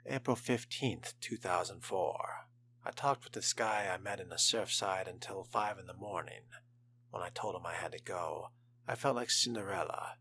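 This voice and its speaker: A man voicing a naive, frightened young vampire reading dated diary entries in a hushed, confessional way. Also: low voice, gravelly, slight background noise, heavily acted. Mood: smitten. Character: young fledgling vampire diarist, naive, lovesick, afraid.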